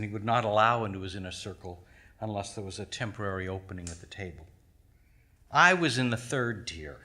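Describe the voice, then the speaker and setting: A man reading his own short story aloud on a small theater stage, measured, his voice dropping to a hush at the ends of lines. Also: lowish voice. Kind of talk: live literary reading.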